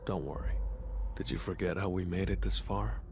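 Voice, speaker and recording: Cool, low-voiced young hunter. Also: male voice, moderate pace, vocal fry, background noise. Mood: cool, composed. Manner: terse, composed, matter-of-fact. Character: cool, decisive young male hunter commanding shadow soldiers. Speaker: voice actor in a video game. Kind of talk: scripted dramatic game acting. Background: music.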